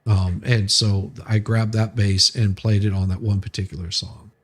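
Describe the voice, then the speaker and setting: A man's voice: lowish voice, level tone, normal speed. A man in casual livestream conversation, telling anecdotes and repeating phrases as he builds his point. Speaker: musician, bass player, livestream co-host.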